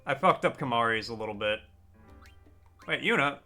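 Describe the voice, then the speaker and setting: A man live-streaming a game, chatting casually with his viewers in a deliberately raised, customer-service-style register. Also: medium pitch, animated, sharp tone, soft background music. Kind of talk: casual live-stream chat while gaming.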